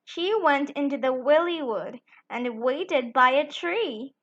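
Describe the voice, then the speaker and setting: A woman hosting a children's storytime, reading a picture book aloud in a sing-song, deliberate way. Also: sits high, expressive, fairly bright tone, muffled recording. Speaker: children's storytime channel host.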